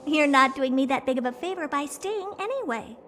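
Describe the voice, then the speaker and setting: A woman's voice: high voice, animated, fairly quick, fairly bright tone, soft background music. A woman voicing a haughty, blunt, self-important female genius who owns a space station, in an English video game dub. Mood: offhand, breezy. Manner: haughty, blunt, dismissive, easily intrigued.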